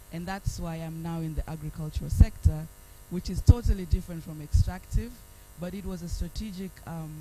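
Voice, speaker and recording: A woman from Tanzania with a Tanzanian accent, giving an earnest, measured talk as a panelist at a conference. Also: fairly low pitch, mellow, a little hiss or hum, low-quality recording. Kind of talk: panel presentation.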